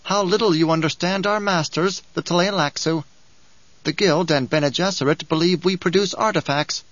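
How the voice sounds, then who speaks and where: A man's voice: high voice, moderate pace, a bit bright, dry recording, dull audio, pitched above the performer's own voice. A man reading an audiobook, voicing a whining, spiteful male dwarf who taunts and giggles. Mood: taunting.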